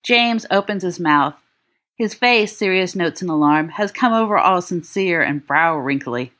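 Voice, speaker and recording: A woman reading fiction aloud as an audiobook narrator, with expressive delivery. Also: lowish voice, measured pace. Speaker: volunteer audiobook reader.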